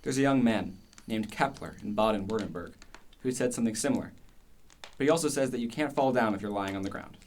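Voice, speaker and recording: A man's voice: lowish voice, quick pace, slight background noise, lightly acted. A man playing a bold, speculative philosopher in a radio play, instructive and questioning in manner. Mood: earnest. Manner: didactic, questioning, explains by analogy.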